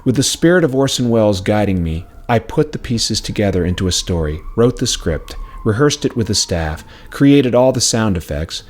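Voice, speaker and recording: A man reading a scripted personal memoir in a measured, reflective storytelling way. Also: lowish voice, expressive. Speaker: narrator of own memoir, former radio station worker. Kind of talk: scripted narration. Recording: music playing behind the voice. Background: plucked acoustic string music.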